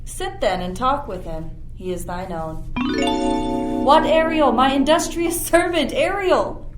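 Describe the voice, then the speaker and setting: A woman's voice: high voice, lively intonation, measured pace, over music. A woman playing a commanding sorceress and stern mother in formal, declamatory verse for an amateur Shakespeare radio play. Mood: stern warning. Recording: roomy, noisy with ambient sound effects.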